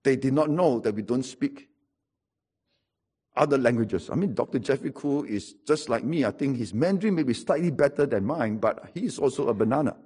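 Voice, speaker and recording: A man's voice: mid-range voice, expressive, a little fast, dark tone, slightly rough. A middle-aged man with a Singaporean English accent, preaching a sermon and telling stories with wry humor and emphatic repetition.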